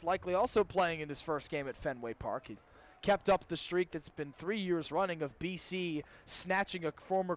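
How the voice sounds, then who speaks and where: A young man doing live baseball play-by-play on the radio, as the game's announcer. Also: high-pitched. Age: young adult. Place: ballpark during a live game. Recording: clear background noise, live ballpark broadcast.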